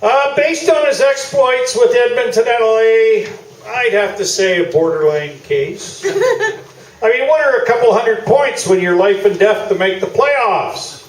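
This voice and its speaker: Man acting a pompous high-voiced sports columnist, roomy stage. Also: measured pace. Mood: smug, dismissive. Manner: pompous, self-assured. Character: pompous, partisan old-school male sports columnist and hall of fame chairman. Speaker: radio play actor. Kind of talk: comic radio play performed live on stage. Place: small theatre, live performance. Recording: roomy theatre stage, distant mic.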